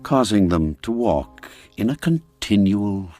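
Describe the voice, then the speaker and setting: A man with a deep voice narrates a classic tale at an unhurried pace. His phrasing is deliberate, with dramatic pauses and wide melodic swings. Soft music plays behind him. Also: expressive. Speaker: audiobook narrator. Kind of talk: scripted storytelling narration.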